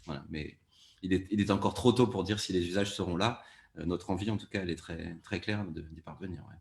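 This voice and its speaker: Low-voiced man thinking aloud on a panel. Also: a little fast, creaky, a little mellow, roomy sound. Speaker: panelist, fiction podcast producer. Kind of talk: panel discussion, spontaneous explanation.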